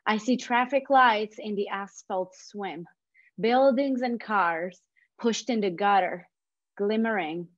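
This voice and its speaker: Slow Italian-accented woman on dull, dry audio. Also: mid-range voice. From Italy. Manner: slow, careful, gentle reading. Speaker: project coordinator reading a poem. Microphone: video call.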